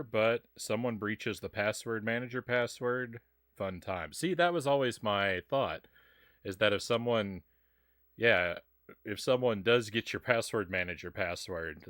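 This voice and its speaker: Deep, bright-voiced man chatting on stream. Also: no room sound, clean studio sound. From Kentucky, USA. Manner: relaxed, chatty, reads chat aloud with many ums and uhs. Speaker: streamer reading chat while playing a retro game. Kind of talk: casual live-stream chat.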